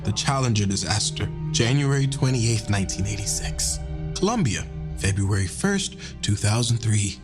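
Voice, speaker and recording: A man's voice: lowish voice, lively intonation, moderate pace, music in the background, close to an everyday voice. An actor playing an anguished male astronaut in an emotional, intense audio drama monologue. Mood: bitter, reflective. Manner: emotional, intense, wide swings in delivery. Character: anguished male astronaut haunted by space disasters.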